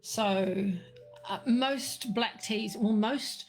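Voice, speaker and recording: A middle-aged woman hosting a live chat about tea, talking slowly with lots of fillers, her voice a little croaky. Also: fairly high pitch, a little mellow. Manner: slow, meandering, many fillers and restarts. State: slightly croaky. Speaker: livestream host answering viewers.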